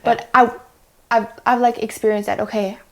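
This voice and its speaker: A woman's voice: on the high side, little pitch movement, normal speed, gravelly. Teen girl just chatting, telling personal stories, says like a lot. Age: teenager. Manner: youthful slangy talk peppered with "like". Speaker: panel guest. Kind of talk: casual discussion, personal anecdotes.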